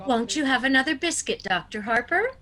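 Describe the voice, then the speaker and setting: Actress as hospitable elderly aunt, radio comedy: genteel, fussy, very animated, bright. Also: female voice, sits high, fairly quick, faint music behind. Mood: doting, chatty. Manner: fluttery, doting, genteel. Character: sweet, fussy, hospitable old spinster aunt. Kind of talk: radio play acting.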